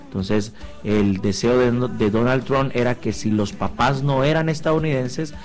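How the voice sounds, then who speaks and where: A man's voice: mid-range voice, unhurried. A man discussing news on live radio in an explanatory way, repeating key words for emphasis. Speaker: radio commentator.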